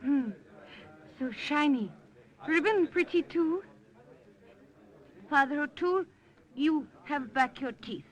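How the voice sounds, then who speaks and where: A woman's voice: sits high, expressive, slow, pauses often, rough recording. An actress playing a childlike, proud young jungle village girl in a radio drama, speaking simple broken English. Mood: delighted. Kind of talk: 1950s radio drama acting.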